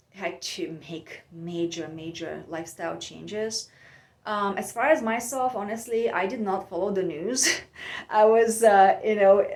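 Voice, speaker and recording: A woman from Bulgaria, musing in a soft, meandering way with many pauses and fillers as she hosts her own podcast from home. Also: mid-range voice. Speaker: podcast host. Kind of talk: reflective personal monologue. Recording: echoey room.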